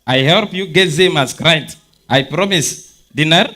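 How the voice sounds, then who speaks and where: A man's voice: fairly high pitch, unhurried, bright, forceful tone. A man playing a forward Ukrainian businessman in a stage reading, with an Eastern European accent and blunt, broken English. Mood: matter-of-fact, persuasive. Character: forward, persistent Ukrainian businessman courting a woman.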